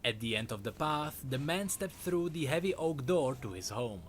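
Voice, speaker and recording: Male narrator, dramatic storytelling, animated intonation, slightly higher pitch, faint background ambience. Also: moderate pace, background noise.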